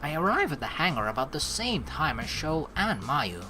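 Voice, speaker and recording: A woman hosting a game playthrough, reading narration aloud and adding casual commentary in a playful, expressive manner. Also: sits low, normal speed, soft background music. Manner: playful, expressive, acts out lines. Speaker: let's play host.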